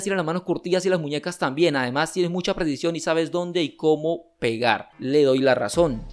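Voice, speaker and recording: A young man in his twenties, an olympic wrestler hosting his channel, reacting to viewer comments with emphatic, gesturing argument from a home setup on a boom mic. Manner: emphatic, gestures a lot while arguing. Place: home streaming room with gaming chair. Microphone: studio mic on boom arm, headset.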